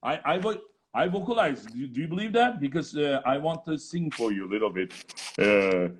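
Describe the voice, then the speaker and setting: Turkish-accented man, effusive and halting. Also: a little high, very animated. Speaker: interview host, opera singer. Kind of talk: casual video chat interview.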